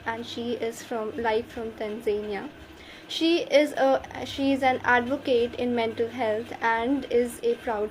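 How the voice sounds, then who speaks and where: High-pitched woman hosting an online show. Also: slight background noise, low-quality recording. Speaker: host of an online interview show. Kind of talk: hosted interview, introducing a guest.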